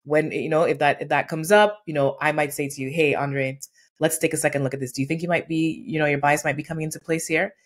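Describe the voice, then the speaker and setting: A woman's voice: sits low, fast. A woman in her forties, a workplace consultant speaking as a guest in a video-call interview, telling stories earnestly and acting out the quotes. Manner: earnest, animated storytelling with acted-out quotes. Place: home room with painting behind.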